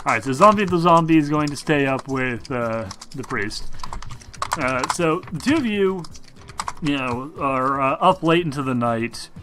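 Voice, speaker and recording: A man acting as game master, narrating a tabletop role-playing game in an informal, halting way. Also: medium pitch, lively intonation, noisy background. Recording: music behind the voice.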